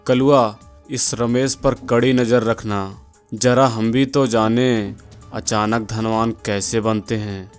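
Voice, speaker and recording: Slow-talking greedy village boss played by a man. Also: medium pitch, flat delivery, clean tone, soft background music, lightly acted. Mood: suspicious, bossy. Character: greedy, scheming rich village moneylender man. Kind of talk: Hindi moral-story cartoon dub.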